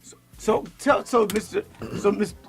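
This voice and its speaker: A man's voice: high-pitched, fairly even intonation, normal speed, over music. A man hosting a casual talk show, putting questions informally and stumbling over his words. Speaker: host. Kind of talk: casual interviewing.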